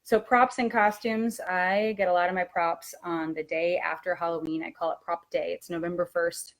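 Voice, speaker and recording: A woman's voice: lowish voice, steady tone, normal speed. A woman speaking as a presenter and teacher trainer in an informal, conversational webinar.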